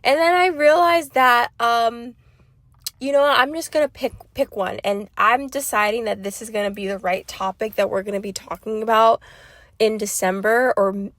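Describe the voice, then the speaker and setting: A woman's voice: a little high, moderate pace, fairly bright tone, no room sound. A woman with a stuffy, sick throat hosting a solo podcast, talking off the cuff with lots of fillers and restarts. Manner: chatty, rambling, many fillers and restarts. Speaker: podcast host. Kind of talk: unscripted solo podcast monologue.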